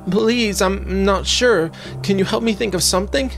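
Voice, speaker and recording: A man's voice: sits high, normal speed, fairly bright tone, soft background music, pitched above the performer's own voice. A man voicing a timid but determined young mermaid, polite and pleading, in a storybook reading. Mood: desperate pleading.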